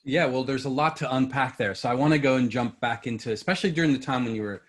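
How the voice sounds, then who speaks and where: A man's voice: mid-range voice, fast. Casual male podcast host. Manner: loose, conversational questions with many fillers. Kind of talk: podcast interview.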